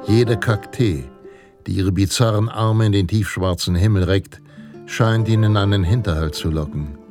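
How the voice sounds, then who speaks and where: An actor playing a solemn, grandiose narrator of pulp Western adventures in a radio play, telling it with suspense. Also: male voice, lowish voice, natural voice. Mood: mysterious, suspenseful. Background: guitar music.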